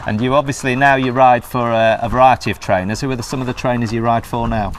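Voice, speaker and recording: A man's voice: medium pitch, fairly even intonation, quick pace, slight background noise. A man conducting a casual, chatty on-location interview about horse racing. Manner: chatty, frequent yeah and mm-hmm acknowledgements.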